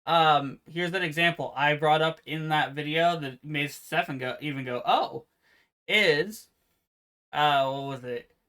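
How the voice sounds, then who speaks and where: A man co-hosting a casual podcast, with a naturally quiet voice, explaining card game rules in a relaxed, meandering way. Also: fairly high pitch, unhurried. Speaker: podcast co-host. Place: home room with fan noise removed by software.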